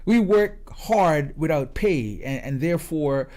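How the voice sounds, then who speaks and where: Mellow-voiced Guyanese man, emphatic. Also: fairly high pitch, expressive, takes it slowly. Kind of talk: conversational radio discussion.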